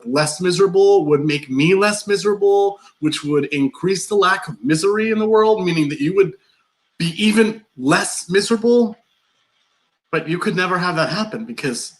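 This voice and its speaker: A man in a live play reading, playing an awkward, nervous man who rambles through a confession in halting, over-explained dialogue. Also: fairly high pitch.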